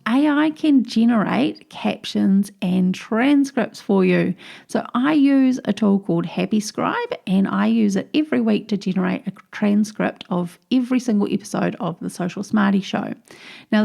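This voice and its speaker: A woman's voice: medium pitch, vocal fry, a little mellow. A woman with a New Zealand accent hosting her own podcast, explaining tips in an upbeat, chatty way. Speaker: podcast host. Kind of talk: solo podcast tips episode.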